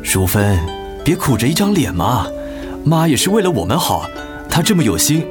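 A man's voice: medium pitch, very animated, moderate pace, close to an everyday voice. An actor playing a conciliatory, apologetic son-in-law and hardworking family man in a radio drama. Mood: soothing, cheerful. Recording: music behind the voice.

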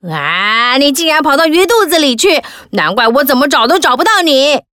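A woman voicing an excited little boy in a children's audio story, speaking eagerly and squealing with delight. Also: sits high, normal speed, no room sound, clean studio sound, heavily acted. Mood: overjoyed. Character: excited little boy who owns the toys.

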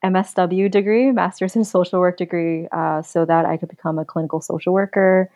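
Thoughtful young woman, low-pitched and dry. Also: fairly even intonation, mellow, no echo, dull audio. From United States. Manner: thoughtful, earnest, measured. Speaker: podcast guest. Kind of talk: casual interview.

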